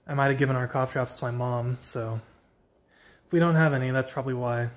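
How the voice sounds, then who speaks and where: A man's voice: mid-range voice, steady tone, fairly quick. A young man chatting casually in a vlog, tired with a slightly scratchy voice, rambling and correcting himself as he goes. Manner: rambling, self-correcting, soft-spoken. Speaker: vlogger. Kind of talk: casual after-work vlog chat.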